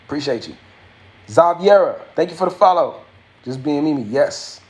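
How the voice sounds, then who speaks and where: A man's voice: on the high side, moderate pace. Laid-back young man chatting live. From Haitian family. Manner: relaxed, slangy, reads out viewer comments and answers them; raps his verse with a raised, rhythmic delivery. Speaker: livestream host and rapper. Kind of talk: casual live stream chat with viewers.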